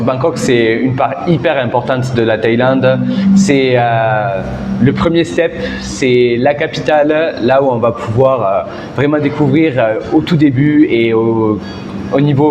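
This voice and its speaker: A man's voice: mid-range voice, reverberant, long reverb tail, background noise. A man in his twenties from southern France, chatting enthusiastically in a street interview with many hesitations. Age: 20s.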